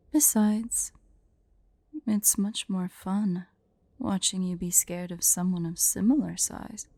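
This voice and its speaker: Woman as a mellow, proud tsundere dragoness. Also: medium pitch, gravelly, no echo. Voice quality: soft, mellow. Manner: haughty, teasing. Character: proud, teasing tsundere female dragon in human form. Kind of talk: ASMR roleplay.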